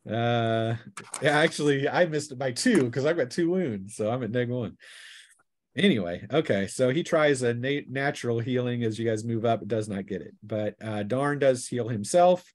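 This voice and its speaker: A man acting as game master, narrating a tabletop adventure off the cuff in a halting, explanatory way. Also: medium pitch.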